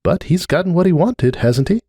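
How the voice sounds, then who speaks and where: Pony mother for storybook drama, played by a man: lifted pitch, lively swings, hesitant, earnest, stubbornly defensive. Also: moderate pace, husky, no room sound, studio quality. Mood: dismissive.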